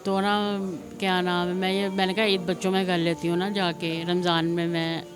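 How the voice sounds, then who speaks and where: A woman's voice: lowish voice, little pitch movement, normal speed. A middle-aged woman with a Pakistani accent giving a spontaneous, rambling personal account, repeating herself and retelling conversations. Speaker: interviewee recounting her own life. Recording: music laid under the voice.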